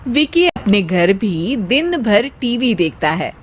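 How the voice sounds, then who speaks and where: A woman's voice: mid-range voice, sing-song, slow, husky. A woman reading scripted narration for a story as its narrator.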